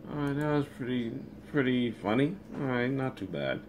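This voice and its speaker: A man's voice: mid-range voice, animated, moderate pace, background noise. A man in his thirties hosting a casual reaction video from a small room at home.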